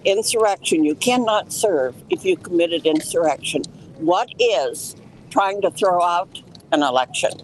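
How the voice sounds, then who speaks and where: An older woman from Colorado, loud and plain-spoken, making a statement outdoors as a case's lead petitioner. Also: fairly low pitch, lively intonation, a little slow, slight background noise, low-quality recording. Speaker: lead petitioner speaking at a press conference. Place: outdoors on a city street.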